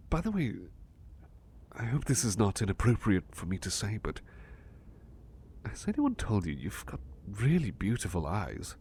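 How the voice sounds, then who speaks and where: Man acting as a low-voiced, animated, flustered butler. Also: slight background noise. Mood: bashful, admiring. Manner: polite, formal, stammering, excitable. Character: eager, flustered, courteous male butler seeking work. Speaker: ASMR roleplay performer. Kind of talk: ASMR roleplay acting, personal attention.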